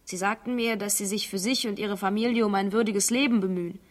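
A woman's voice: mid-range voice, steady tone, fast. A woman reading an interviewee's words as a documentary voice-over. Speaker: voice-over translator.

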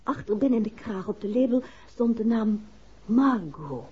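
A detective's playful, inquisitive wife in an old radio drama. Her voice is high and swoops animatedly, chatty and coy. The vintage recording sounds dull. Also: animated, normal speed, slightly rough. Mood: polite, probing. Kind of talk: 1960s Dutch radio play acting.

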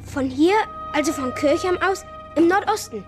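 Plucky boy voiced by a woman: high pitch, outspoken, protective, determined, children's radio drama. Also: normal speed, over music, lightly acted. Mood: determined, bitter. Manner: bold, outspoken, insistent. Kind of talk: children's radio play acting.

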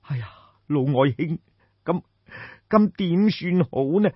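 A man's voice: fairly high pitch, animated, slow pace, husky, no echo, dull audio, voice pushed up, heavily acted. A man voicing an anxious, easily alarmed king in an audiobook, stammering and repeating himself as he exclaims. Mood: anxious, pleading.

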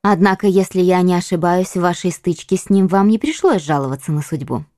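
A man's voice: sits high, brisk, a little mellow, dry recording, clean studio sound, pitched above the performer's own voice, lightly acted. A man voicing a cunning, cold, seductive noblewoman in an audiobook reading, urgent and commanding in manner. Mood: eager.

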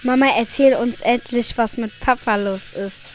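A woman's voice: on the high side, steady tone, normal speed, soft background music, close to an everyday voice. A female performer playing an insistent child in an amateur school radio play. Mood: insistent, upset. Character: insistent child demanding the truth from mother.

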